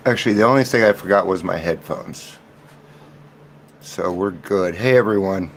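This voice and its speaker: A man hosting a recovery livestream, talking softly in a candid, rambling way while unwell and worn out. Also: low-pitched, normal speed, background noise. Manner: rambling, candid, self-deprecating, many restarts. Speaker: livestream host of a recovery reflection. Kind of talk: casual livestream chat. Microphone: computer mic with gain turned up.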